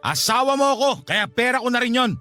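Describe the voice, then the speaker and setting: A scornful, irresponsible Filipino husband in a radio drama. He talks quickly in a lively, swinging high voice, brushing off everything with impatience. Faint music sits behind him. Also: exaggerated voice. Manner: dismissive, sneering, impatient.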